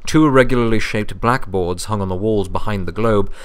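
A man's voice: lowish voice, a little fast, clear, resonant tone. A man reading a story aloud as narrator, in scripted narration. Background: music.

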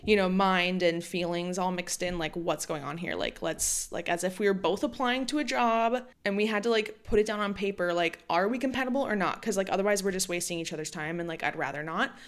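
A woman's voice: fairly low pitch, fairly quick, few pauses. A woman in her late twenties hosting a solo podcast, talking casually with lots of "like" fillers. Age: late 20s. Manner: chatty, frequent "like" fillers. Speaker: podcast host.

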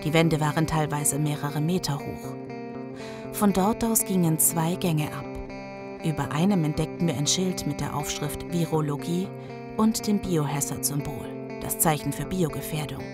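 An actress playing a survivor who narrates a grim zombie audio drama. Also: female voice, low-pitched, normal speed, over music, lightly acted. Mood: grave, measured. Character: survivor recounting a grim zombie apocalypse expedition. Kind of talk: scripted first-person audio drama narration.

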